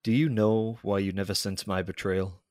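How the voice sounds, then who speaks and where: An actor playing a brooding, honour-bound military officer and devoted lover in an audio drama, speaking with formal, earnest restraint. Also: male voice, deep voice, fairly even intonation, normal speed, a little mellow, dry recording, clean studio sound, lightly acted.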